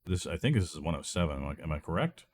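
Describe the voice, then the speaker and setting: A man's voice: extremely low pitch, sing-song, fast talker. A man with a stuffy, nasal voice from a cold, rambling and thinking aloud as he plays a video game. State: recovering from illness, congested. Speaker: let's play gamer. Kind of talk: casual gameplay commentary.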